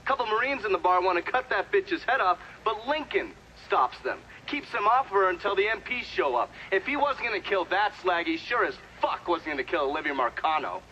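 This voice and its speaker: Casting: crude, defiant American war veteran for a game; forceful, very animated, profane storyteller. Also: male voice, sits high, fairly quick, hardly any pauses. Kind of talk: video game cutscene acting. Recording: dull sound with clear background noise.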